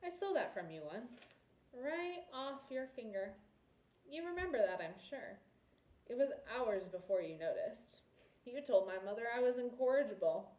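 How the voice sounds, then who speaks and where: A woman's voice: a little high, sing-song, normal speed, darkish tone, voice pushed up. A woman voicing a bold young prince in a storybook, assured and sardonic, in an echoey room. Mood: taunting. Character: bold, wry young male prince, former orphan.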